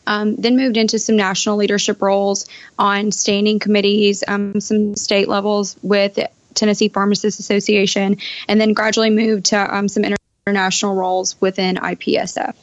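Even-toned woman in her thirties, slightly dull audio. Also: mid-range voice, normal speed, no room sound. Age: 30s. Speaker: podcast guest, pharmacist. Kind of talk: conversational interview answers. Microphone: internet voice call.

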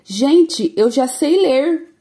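Storybook little boy, eager and full of questions, high and bouncy, as a woman puts him on. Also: lively intonation, extremely slow, warm tone, exaggerated voice. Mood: excited. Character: curious excited little boy.

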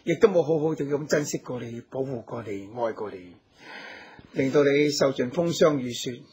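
A man acting a devoted, gentle hunter hero with a husky voice in a Hong Kong Cantonese radio drama. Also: mid-range voice, lively intonation, moderate pace. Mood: tender, earnest. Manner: gentle, solicitous, earnest. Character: tender, devoted hunter hero and new husband.

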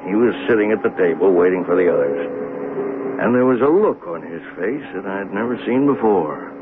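Male actor as conductor in a radio mystery, low voice, swooping intonation, earnest storyteller, noisy broadcast. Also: expressive, fairly quick, natural voice. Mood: reflective. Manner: reflective storytelling turning to pointed questioning. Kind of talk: 1970s radio drama acting, narration and dialogue. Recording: noisy, muffled phone-like 1970s radio broadcast.

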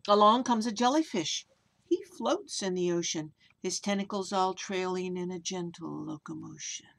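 A woman's voice: on the low side, normal speed, vocal fry. An older woman reading a children's picture book aloud expressively, with casual asides, in a small room at home. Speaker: children's story reader.

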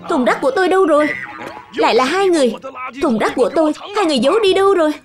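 A woman's voice: sits high, animated, rapid-fire, music in the background. A woman voicing a grumpy middle-aged cartoon neighbour with an indignant, accusing manner.

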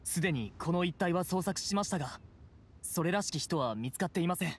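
A man's voice: a little high, expressive, fairly quick, a little hiss or hum, close to an everyday voice. A man playing a dutiful, troubled town guard in an anime game. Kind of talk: Japanese anime game voice acting.

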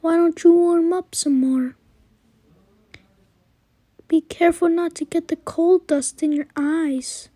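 A woman's voice: extremely high pitch, steady tone, slow pace, long pauses, mellow, no room sound. A woman voicing a creepy burnt child-like animatronic doll in horror character lines, speaking with sweet menace. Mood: sweetly menacing.

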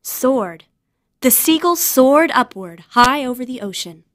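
A woman reading scripted vocabulary words and example sentences in a deliberate way. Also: high-pitched, expressive, a bit bright. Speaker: vocabulary narrator. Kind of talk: scripted educational narration.

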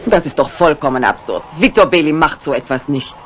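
A woman's voice: sits low, lively intonation, fairly quick. Imperious lady of the house. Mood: indignant. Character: brisk, commanding lady of the house. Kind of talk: 1950s German radio crime drama acting. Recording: noisy vintage radio recording.

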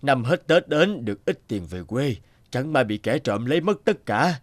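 An actor playing a shrewd, authoritative folktale king who passes as a poor scholar, measured and probing. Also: mid-range voice, animated, no room sound, natural voice. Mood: humble, probing.

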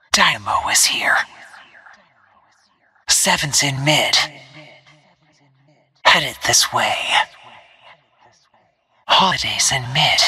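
A woman voicing a stealthy, cold-blooded assassin in a video game, with hushed, clipped, menacing short lines in a breathy, whispery voice. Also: sits low, full character voice. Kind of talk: video game character callouts.

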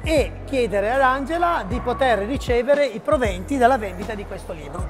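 A man's voice: very high-pitched, lively intonation, moderate pace. Italian-speaking male host with music behind. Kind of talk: casual interview. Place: studio with printed backdrop.

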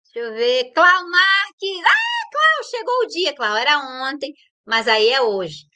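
A woman's voice: high-pitched, huge pitch swings, measured pace, sharp tone. A woman with a Brazilian Portuguese accent hosting a casual livestream, chatting playfully and greeting viewers by name. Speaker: livestream host.

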